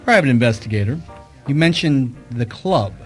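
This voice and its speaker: A man playing an observant hard-boiled private investigator who narrates his case in a wry, measured radio mystery style. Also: medium pitch, lively intonation, faint music behind, lightly acted. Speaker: narrator and detective. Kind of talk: radio mystery drama acting with first-person narration.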